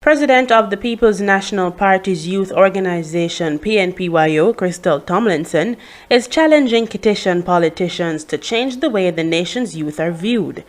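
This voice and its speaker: A woman news reporter narrates a scripted story. Her voice sits at an average pitch for a woman. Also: lively intonation, normal speed.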